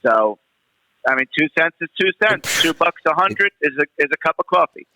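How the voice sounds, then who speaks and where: A man's voice: a little high, animated, normal speed, bright, forceful tone, dry recording, low-quality recording. A man with a New York accent calling in to a radio show and chatting casually. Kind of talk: casual phone-in chat.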